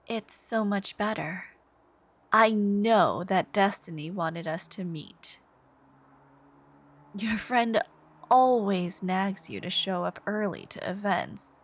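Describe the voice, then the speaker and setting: A woman voicing an obsessive, devoted, soft-spoken supervillain in a scripted ASMR roleplay, intimate and menacing, with phrases trailing into whispers. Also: medium pitch. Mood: adoring, possessive, then softly coaxing. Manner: slow, intimate, breathy sighs and whispered phrase endings.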